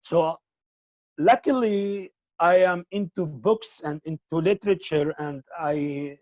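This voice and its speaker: A man whose first language is Arabic, answering interview questions about filmmaking in a halting way and often repeating words. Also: on the high side, a little mellow, no room sound, highs cut off. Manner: halting, repeats words while searching for them.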